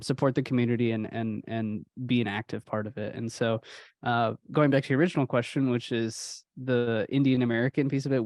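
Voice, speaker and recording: A young man from Houston talks about his own life in a relaxed, casual way, often saying "you know". His voice sits in a middle range. The recording is dry and close. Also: mid-range voice, no echo. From Houston, Texas. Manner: relaxed, uses many fillers like "you know". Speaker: podcast guest, sound engineer. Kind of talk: casual reflective storytelling.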